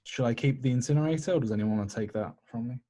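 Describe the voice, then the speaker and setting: A man's voice: medium pitch, racing, dark tone. A man talking casually as a player in a tabletop roleplaying game.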